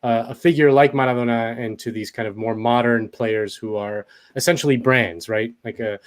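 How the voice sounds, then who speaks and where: A man speaking as a guest on a video call interview, his voice at an ordinary middle pitch. He works through his answer out loud, restarting phrases and leaning on fillers. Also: fairly even intonation, normal speed. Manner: thinks aloud, rephrasing with fillers. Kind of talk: casual interview conversation.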